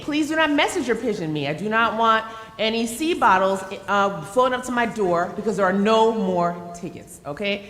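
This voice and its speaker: A woman's voice: mid-range voice, a bit bright. A woman hosting a live show, playfully teasing and chatting back and forth with her audience. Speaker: host. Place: event space with a live audience. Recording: echoey hall.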